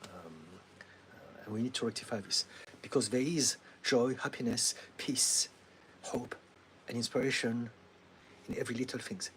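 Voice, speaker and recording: A middle-aged man from France with a French accent, a therapist calmly answering viewers' questions on a livestream, laughing now and then and filling pauses with ums. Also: medium pitch, a little hiss or hum, low-quality recording. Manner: calm, advising, laughs often, many ums.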